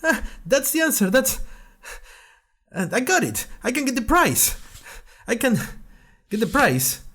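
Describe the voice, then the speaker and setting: A man's voice: extremely high pitch, huge pitch swings, measured pace, fairly bright tone, slightly rough, exaggerated voice. A man playing an earnest young college student who tells his story in a wry, conversational way, as host of an audio drama diary. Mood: excited. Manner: conversational, wry, addresses listeners directly. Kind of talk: scripted first-person audio drama narration.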